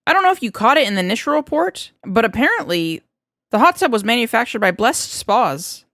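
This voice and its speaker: A woman voicing an enthusiastic, talkative male research scientist in an audiobook, explaining things in an animated, chatty way. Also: fairly high pitch, brisk, no echo, clean studio sound, pitched above the performer's own voice. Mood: earnest, speculative. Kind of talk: fan fiction audiobook character reading.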